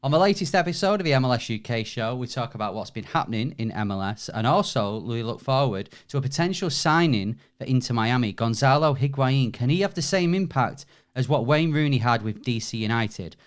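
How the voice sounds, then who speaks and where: A man's voice: mid-range voice, animated, a little fast, few pauses, smooth. A man delivering a recorded promotional message for his soccer podcast in an upbeat manner. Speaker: guest promo host.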